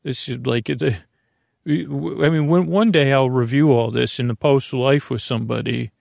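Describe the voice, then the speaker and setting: Creaky-voiced man rambling at bedtime. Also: mid-range voice, dry recording, clean studio sound. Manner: meandering, halting, trailing off mid-thought. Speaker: podcast host. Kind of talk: rambling bedtime monologue meant to lull listeners to sleep.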